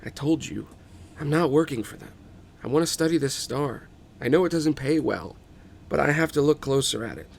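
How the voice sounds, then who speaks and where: A driven, stubborn woman scientist from an audio movie script , read by a man. The voice is creaky and insistent, swearing in disbelief. There is faint background noise on the recording. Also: medium pitch, animated, moderate pace. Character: stubborn, driven woman astronomer fixated on a star.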